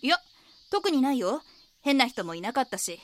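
An actress playing a frank, slightly defensive diner owner in an audio drama, speaking casually. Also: female voice, high-pitched, sing-song, normal speed. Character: plain-spoken woman running a local diner, defensive, frank.

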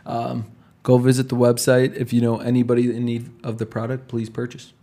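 A man hosting a casual interview, friendly and affirming, with quick comments between the guest's answers. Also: medium pitch, monotone, warm tone. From Puerto Rican family. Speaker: podcast host.

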